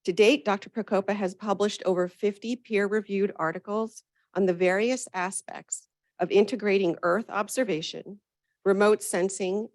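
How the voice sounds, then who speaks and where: A woman's voice: medium pitch, dry recording. A woman hosting an event, reading a scripted introduction of the guest speaker in an upbeat, welcoming manner.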